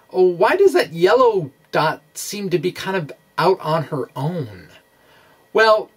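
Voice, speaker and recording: Male science explainer, informal and unhurried, halting delivery with self-corrections. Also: high-pitched. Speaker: science video blogger. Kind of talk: informal physics explainer narrating animations.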